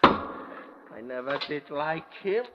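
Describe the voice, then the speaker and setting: An actor playing a hard-of-hearing, brooding butcher in 1950s radio drama, muttering and repeating himself haltingly. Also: male voice, fairly high pitch, expressive. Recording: muffled, noisy 1950s radio recording.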